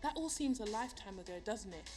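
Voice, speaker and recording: A woman's voice: medium pitch, animated, fast talker, music in the background, lightly acted. An actress playing a blunt, wry, sweary young woman radio host in an audio drama. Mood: reflective. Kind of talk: audio drama banter between radio co-hosts.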